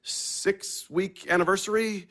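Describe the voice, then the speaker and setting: Man playing a blunt, deadpan, crude friend. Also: high-pitched, takes it slowly, a little hiss or hum. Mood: blunt, sardonic. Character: man Max, gruff blunt sardonic friend. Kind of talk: audio drama acting.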